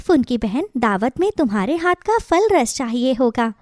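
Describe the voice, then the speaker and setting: A loving mother bird from a children's cartoon, played by a woman. Her voice is very high and swings expressively. She speaks with earnest affection in a close, dry sound. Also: big pitch swings, normal speed, a little mellow, dry recording. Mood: cheerful, earnest. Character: loving, gentle mother bird, warm and welcoming. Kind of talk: Hindi children's story cartoon dialogue.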